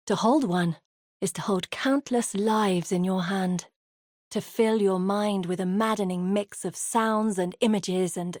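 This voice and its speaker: An actress voicing an earnest, guilt-haunted woman explorer and guide in a fantasy video game, speaking in a grave, measured, confiding way. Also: medium pitch, a little mellow, no room sound, clean studio sound, natural voice. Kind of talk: scripted dramatic game dialogue.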